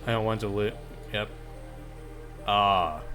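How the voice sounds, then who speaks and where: Low-voiced man casually playing through a game. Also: slow pace. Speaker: video game streamer. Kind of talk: casual let's play, reading game text aloud with asides. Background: music.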